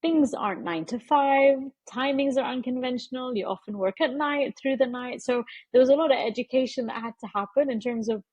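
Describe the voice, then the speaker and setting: Husky-voiced woman in her forties. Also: sits high, a little mellow, dry recording. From London. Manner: earnest, measured, with frequent fillers. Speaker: interview guest, media executive. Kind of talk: reflective interview answers.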